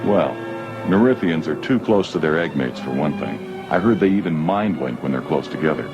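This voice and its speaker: An actor playing a cocky, sarcastic American space smuggler, wisecracking and informal, in an audio drama. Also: male voice, very deep voice, expressive, moderate pace, muffled recording, over music. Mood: skeptical, brash.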